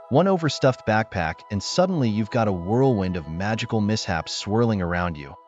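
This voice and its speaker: Man, low pitch, animated intonation, scripted comedic kids' story narration, muffled audio with music behind. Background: background music.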